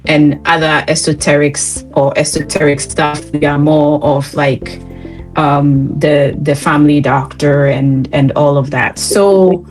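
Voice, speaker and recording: A woman's voice: sits low, flat delivery, unhurried, darkish tone, soft background music, rough recording. A woman from Ghana hosting an interview, encouraging and chatty, guiding her guest with questions. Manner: encouraging, chatty, laughs lightly. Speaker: interview host. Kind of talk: conversational interview.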